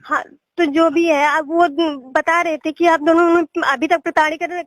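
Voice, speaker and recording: A young woman presses a complaint on a recorded phone call. Her voice is extremely high and dry, with no room sound. She sounds aggrieved and keeps restating her point. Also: flat delivery, normal speed, dry recording. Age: young adult. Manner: insistent, aggrieved, repeating points. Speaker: caller, a police officer's daughter. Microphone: telephone call.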